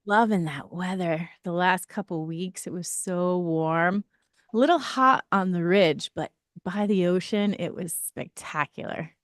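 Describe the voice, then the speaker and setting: Chatty woman radio host, smooth dry voice. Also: lowish voice, a little slow, dry recording. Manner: chatty, laughs easily. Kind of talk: casual radio interview. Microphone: studio mic.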